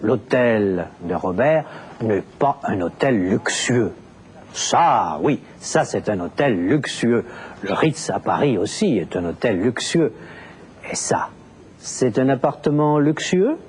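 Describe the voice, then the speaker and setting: A man narrates a language lesson in a deliberate, explanatory way and enunciates every word clearly. He speaks very slowly, and his pitch moves widely up and down. Faint background noise sits under his voice. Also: very animated. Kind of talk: scripted teaching narration.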